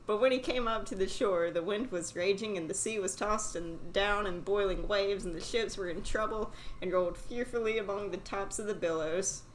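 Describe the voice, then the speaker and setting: A woman's voice: mid-range voice, moderate pace, hardly any pauses, rough recording. A woman reading a fairy tale aloud, casually, with sweary asides of her own. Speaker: story reader. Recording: faint background noise.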